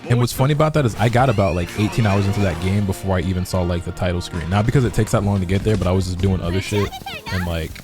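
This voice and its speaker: Man, low voice, quick talker, rambling casual stream chatter, laid-back, swears. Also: over music. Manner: rambling, heavy use of "like" and repeated words. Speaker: gaming streamer.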